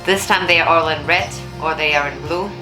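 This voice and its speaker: A woman speaks in a notably low alto. She comments casually and bluntly, like a vocal coach hosting a reaction video, expressive and frank. The room rings around her voice, and music plays behind. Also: fairly quick, reverberant, low-quality recording. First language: German.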